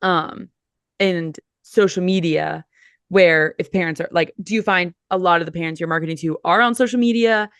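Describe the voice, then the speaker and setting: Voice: mid-range voice, moderate pace, fairly bright tone, clean tone, no room sound. A podcast host asking long, rambling questions, thinking aloud while asking. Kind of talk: casual interview questions.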